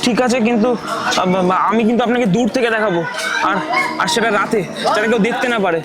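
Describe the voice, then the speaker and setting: A man's voice: sits high, fast, natural voice. A man playing a cowed henchman turned reluctant informant, stammering and pleading in an audio drama. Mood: frightened. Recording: loud music behind the voice.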